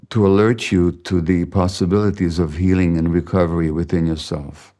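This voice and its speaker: An older man, a Hungarian native speaker, giving a slow, measured, reflective masterclass lecture as a physician. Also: low-pitched, mellow.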